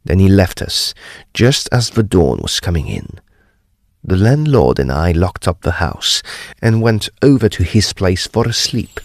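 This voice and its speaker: A man playing a courteous, precise gentleman ghost hunter, telling his gothic tale in a formal, deliberate, expressive way. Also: sits low, no echo, studio quality, exaggerated voice. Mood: measured narrating. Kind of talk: dramatised gothic storytelling.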